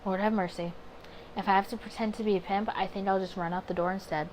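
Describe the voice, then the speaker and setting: A woman with a mid-pitched voice reads a visual novel aloud as a let's play host. She slips between narration and chatty, candid asides. There is some background noise on the recording. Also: fairly even intonation, fast talker, a little mellow, low-quality recording. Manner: chatty, candid side comments between read passages. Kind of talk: casual let's play, reading story text aloud with offhand reactions.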